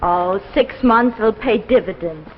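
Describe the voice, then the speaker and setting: An actress playing a devoted, encouraging young wife in a 1950s anthology drama, speaking in an earnest, coaxing way. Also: female voice, medium pitch, measured pace, slight background noise, lightly acted. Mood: tender. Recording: muffled, echoey 1950s broadcast recording.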